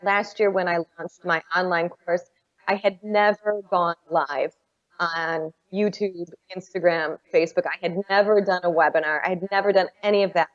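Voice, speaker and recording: A woman's voice: fairly low pitch, steady tone, moderate pace, lo-fi sound. A woman speaking on a conference panel, telling a story in an earnest, encouraging way.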